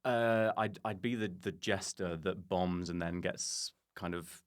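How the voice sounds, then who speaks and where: Man, lowish voice, casual comic podcast chat, self-deprecating, joking. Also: unhurried, clear, resonant tone. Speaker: comedian guest on a podcast.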